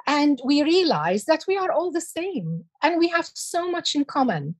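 A woman in her sixties from Iraq, giving slow, measured answers as an interview guest. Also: high voice, very animated. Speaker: guest, heritage expert.

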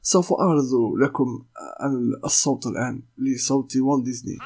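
A young man from Palestine, a voice actor, hosting a solo podcast in careful formal speech, pausing often with fillers and restarts. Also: mid-range voice, darkish tone. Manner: hesitant, many "uh" fillers and restarts. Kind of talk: solo podcast talk in Modern Standard Arabic.

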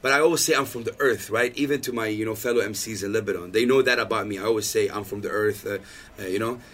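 A man's voice: on the low side, fast, a little hiss or hum. A man in his thirties, a podcast guest, telling stories in casual chat and acting out the lines he quotes. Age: 30s. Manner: animated storytelling, acts out quoted lines.

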